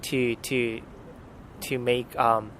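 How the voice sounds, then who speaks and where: A man's voice: medium pitch, little pitch movement, extremely slow, a bit bright, slight background noise. Man weighing his words outdoors. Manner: thoughtful, searches for words, many fillers. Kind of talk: casual conversation.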